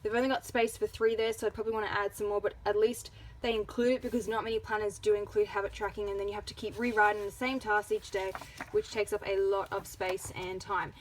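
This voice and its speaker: A woman's voice: mid-range voice, flat delivery, fast talker, poor audio. A woman with an Australian accent giving a casual product review as a vlogger.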